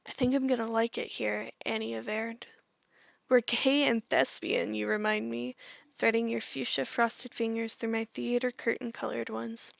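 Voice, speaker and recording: A woman's voice: a little high, flat delivery, normal speed, gravelly, no echo. A woman giving a theatrical, playful reading of a monologue, with arch and precise delivery. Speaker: monologue reader.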